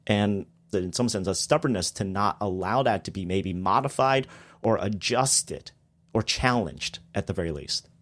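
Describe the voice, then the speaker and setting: A man's voice: fairly low pitch, expressive, moderate pace, fairly bright tone. A man, a guest on a podcast, explaining theology in a casual discussion with emphatic bursts on key points.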